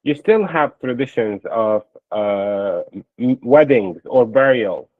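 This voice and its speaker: Halting, explanatory man on band-limited audio. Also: mid-range voice, expressive, no room sound, phone-quality sound. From Palestine or its diaspora. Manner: earnest and explanatory, hesitant with frequent filler pauses. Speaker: interview guest. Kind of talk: conversational live panel interview.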